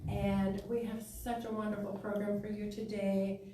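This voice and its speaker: A woman's voice: medium pitch, little pitch movement, moderate pace, warm tone. A woman acting as host, informally welcoming a congregation and giving announcements in a meeting hall. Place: meeting hall of a spiritual center. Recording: echoey hall from far off, noisy.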